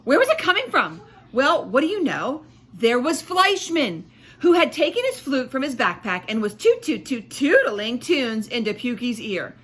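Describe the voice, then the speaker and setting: A woman's voice: high-pitched, sing-song, moderate pace, fairly bright tone, slightly rough. Woman teacher reading aloud, emphatic and explanatory. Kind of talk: expressive storybook read-aloud with teaching asides.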